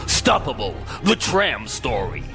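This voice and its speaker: Movie trailer announcer, parody voice-over, portentous, grandiose, dramatic pitch swings, loud music underneath. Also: male voice, very animated, takes it slowly.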